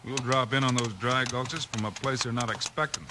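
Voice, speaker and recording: A shrewd frontier lawman, acted by a man speaks plainly and with command in an American accent. His pitch is average for a man. The 1950s radio drama recording is heavily layered with noise. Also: steady tone, brisk, close to an everyday voice. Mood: firm. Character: steady, shrewd Old West lawman hero. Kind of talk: 1950s radio western acting.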